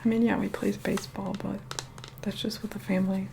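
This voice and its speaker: A woman playing an aloof, vain young vampire in a soft whispered ASMR roleplay, unhurried, dry and mildly condescending. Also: little pitch movement, very noisy. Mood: dry, exasperated. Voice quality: soft, breathy whispering mixed with low murmur. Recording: music playing behind close whispered voice.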